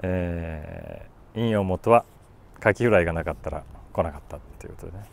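A man in his forties talking casually about a book, thinking aloud and reading short lines with animated emphasis. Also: deep voice, moderate pace, vocal fry. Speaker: YouTube host, voice actor. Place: outdoors on a city rooftop.

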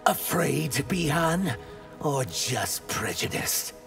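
A man voicing a loyal, defiant reptilian emissary in a video game, creaky, deliberate and challenging. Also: on the high side, very animated, full character voice. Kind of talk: video game character dialogue. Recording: music behind the voice.

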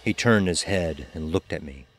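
An actor playing a cold, ruthless, dying rich gambler narrating a murder in a brooding, deliberate, theatrical horror style. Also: male voice, low voice, lively intonation, fairly bright tone, soft background music. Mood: cold, menacing. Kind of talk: dramatic first-person horror audio narration.

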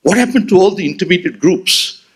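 A man's voice: high-pitched, a little fast, husky. A man in his sixties with an Indian English accent, giving a memorial lecture at a podium in an auditorium, speaking with rhetorical flair. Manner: expressive, rhetorical, gestures while speaking. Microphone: podium gooseneck mic.

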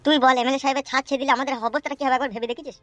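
A man voicing a crude, cocky yet nervous gangster henchman in a comedy cartoon, whining and excitable. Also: very high voice, racing, dry recording. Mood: worried, grumbling. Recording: dry sound with music behind.

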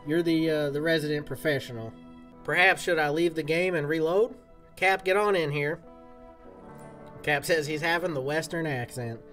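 A man's voice: fairly high pitch, moderate pace, a bit bright, soft background music. A man, chatting casually and folksily with his chat while streaming a game. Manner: folksy cowboy-style talk. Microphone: desk mic, game audio from a speaker.

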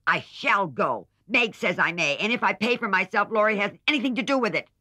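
A man's voice: normal speed, sharp tone, dry recording. A man voicing a young girl who coaxes and whines in a storybook reading. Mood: pleading, petulant. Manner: coaxing, high and whining. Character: young girl, whiny and pleading.

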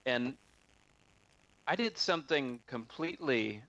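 A man casually telling a story on a video call, halting and often repeating his words. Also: medium pitch, animated, a bit bright, highs cut off.

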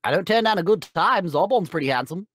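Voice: medium pitch, lively intonation, quick pace, a bit bright, no echo. A performer playing a vain, snide alien tyrant in a fan question show, with smug, sardonic, offhand delivery. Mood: dry, offhand.

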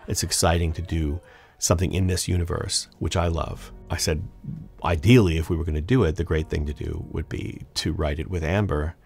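A man's voice: low-pitched, steady tone, brisk, creaky, darkish tone. A man who works as a writer, talking in a behind-the-scenes interview. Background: music.

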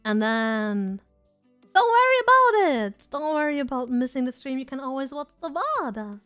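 Chatty woman streamer, voice going hoarse. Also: sits high, very animated, moderate pace, fairly bright tone, faint music behind. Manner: rambling, chatty, self-deprecating. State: losing her voice. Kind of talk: casual live-stream chat.

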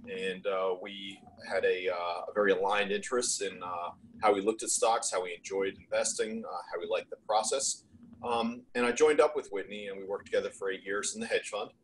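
A middle-aged American man from New Jersey presenting informally on a webinar in halting, measured phrases. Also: low voice, steady tone, a little hiss or hum, rough recording. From New Jersey, United States. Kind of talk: informal self-introduction on a webinar. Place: indoors on a video call.

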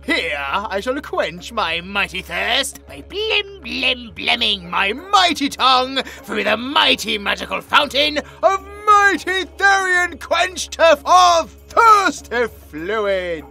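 A man's voice: extremely high pitch, very animated, slow, creaky, hardly any pauses, pushed and bright, soft background music, heavily acted. A British man playing a pompous, melodramatic tiger-boy cat in a comedic audio roleplay, with a grandiose, theatrical manner. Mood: grandly boastful.

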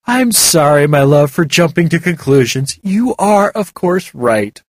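An earnest, clumsy young man speaks eagerly and apologizes readily, voiced by a storybook narrator. His voice sits raised and lively in pitch. The reading sounds slightly husky and dry. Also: measured pace, no room sound. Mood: apologetic.

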